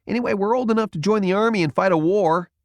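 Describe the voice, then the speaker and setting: A man's voice: high voice, quick pace, no echo, studio quality, voice pushed up. A man voicing an earnest, defensive young male intern. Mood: insistent.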